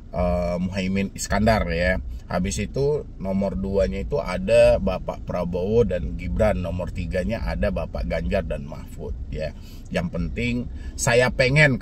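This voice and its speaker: Indonesian guy with a stuffy hoarse cold voice, kinda low, walking and talking to his viewers, faint traffic noise behind him. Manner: talking while walking slowly, urging and repetitive. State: congested, slightly hoarse from a cold. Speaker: channel host giving debt advice. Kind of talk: casual vlog talk to viewers.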